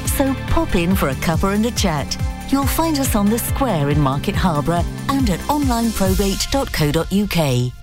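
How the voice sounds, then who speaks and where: A woman's voice: mid-range voice, very animated, moderate pace. A woman voicing a scripted radio advert in an upbeat, selling tone. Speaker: advert voice-over. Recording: music bed behind voice.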